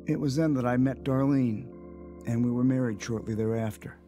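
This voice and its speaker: A man's voice: mid-range voice, normal speed, warm tone, lightly acted. Casting: male actor as restless young American husband and dealer, earnest audio-drama delivery, music underneath. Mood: reflective. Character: young drug-dealing husband and new father, restless, self-centred. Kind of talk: audio drama acting with first-person narration. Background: background music.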